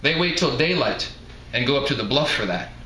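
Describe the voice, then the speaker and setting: A man voicing a suspicious, quietly menacing bearded small-town local in a live novel reading. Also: medium pitch, lively intonation, brisk. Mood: suspicious, probing. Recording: roomy echoing live venue, some noise.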